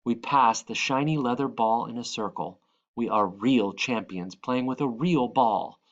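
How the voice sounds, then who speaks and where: A man reading a children's picture book aloud, expressively, with dramatic and deliberate phrasing. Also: mid-range voice, lo-fi sound. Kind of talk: expressive read-aloud narration.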